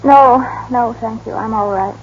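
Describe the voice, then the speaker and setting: A woman's voice: fairly high pitch, a little slow, a little hiss or hum, lightly acted. A woman playing a sweet, modest young working woman in an old radio drama, speaking hesitantly and demurely. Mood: faint, apologetic. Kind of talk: 1930s-40s radio acting. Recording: muffled old radio broadcast.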